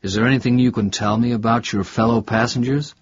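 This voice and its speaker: Man acting a husky, probing investigator. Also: medium pitch, steady tone, fairly quick, lo-fi sound, natural voice. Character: male investigator Robert, polite, probing, persistent. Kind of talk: audiobook character dialogue.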